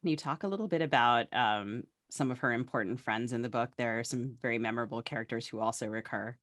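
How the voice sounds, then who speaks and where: A man interviews a writer as a podcast co-host, with a medium-pitched voice and a very dry sound. He talks in a discursive, conversational way, building appreciatively toward his questions. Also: quick pace, fairly bright tone, dry recording.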